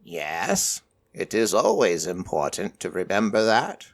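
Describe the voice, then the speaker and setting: A man voicing an ancient, formless deity in raven form for audio fiction, solemn and measured, with formal archaic phrasing. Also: on the low side, huge pitch swings, exaggerated voice. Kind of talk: audio fiction character acting.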